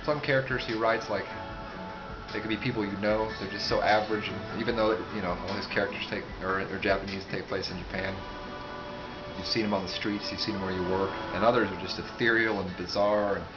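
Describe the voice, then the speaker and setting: A man giving a casual, unscripted book review, rambling and halting, with asides that trail off. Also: fairly low pitch, steady tone. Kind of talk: casual, unscripted talk to camera. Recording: dull sound with music behind. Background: music.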